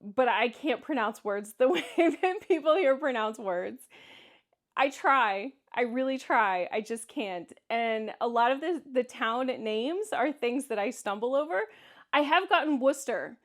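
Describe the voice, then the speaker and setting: A woman vlogger with a distinctly high voice and a Kansas accent talks casually to her viewers. She is candid and conversational, leaning on words for emphasis as she gives her opinions. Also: animated, a little slow. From Kansas, United States. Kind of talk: casual solo vlog commentary, answering viewer comments.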